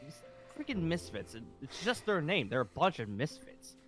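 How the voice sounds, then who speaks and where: Man playing an exasperated, lively safe haven colonel. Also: a little high, moderate pace. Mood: concerned. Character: exasperated, sarcastic colonel running a zombie survivor safe haven. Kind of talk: improvised video game roleplay. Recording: music behind the voice.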